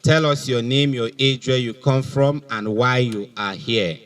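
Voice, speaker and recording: Middle-aged Nigerian male officer, mid-pitched, commanding, running a public interrogation. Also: unhurried, fairly bright tone, distant mic. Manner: authoritative, probing. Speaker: police officer questioning suspects. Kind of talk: public interrogation at a press briefing. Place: outdoors in a police compound. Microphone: handheld microphone.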